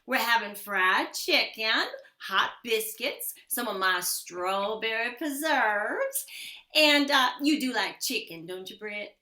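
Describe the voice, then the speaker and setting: A woman playing a proud, pushy small-town wife in a radio western, folksy and sharp-tongued. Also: a little high, slow, roomy sound. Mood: gushing. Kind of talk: old-time radio western acting.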